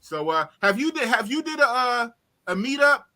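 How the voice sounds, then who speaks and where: A man in his fifties hosting a live talk stream, chatting casually and shouting out viewers by name. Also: very high-pitched, normal speed. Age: 50s. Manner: animated, shouts out viewers, repeats himself. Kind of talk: casual livestream chat.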